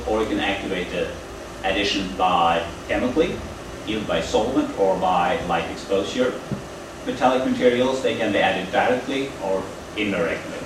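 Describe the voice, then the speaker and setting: A man with a deep, low voice talks through a technical lecture in a halting, explanatory way. He is picked up from a distance in a very echoey university room. A steady air-conditioning hum runs underneath. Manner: halting, explanatory, with frequent pauses. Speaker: lecturer at a training event.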